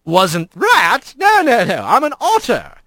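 A man's voice: very high voice, wildly swooping pitch, slow, sharp tone, dry recording, clean studio sound, voice pushed up, full character voice. A man voicing a jovial, pompous otter mayor with breezy upper-crust manners in a storybook reading. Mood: chummy. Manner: breezy, pompous, old-fashioned nautical gentry talk.

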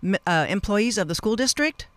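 A man's voice: high-pitched, animated, moderate pace, fairly bright tone, dry recording. A man hosting a radio interview, asking conversational questions in a rambling, self-interrupting way.

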